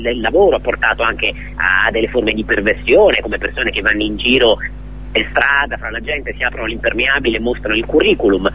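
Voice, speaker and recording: A man speaking as a comic radio guest, husky, halting and mock-serious, over a phone link. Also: lowish voice, pushed and bright, highs cut off, a little hiss or hum. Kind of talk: satirical comic monologue, conversational delivery.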